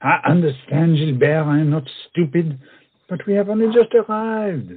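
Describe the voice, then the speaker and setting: A man's voice: a little high, moderate pace, slightly rough, narrow, tinny audio. An actor playing a proud, witty, self-conscious French swordsman-poet in a comic audio play, with florid, dramatic phrasing. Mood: wry, pessimistic. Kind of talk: theatrical comedy audio-play acting.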